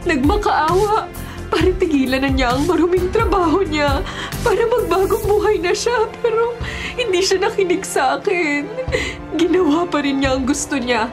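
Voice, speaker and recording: An actress playing a distraught wife and mother in a Filipino radio drama, lamenting in a halting, tearful way. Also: extremely high pitch, music in the background. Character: distraught, pleading wife and mother of grown child.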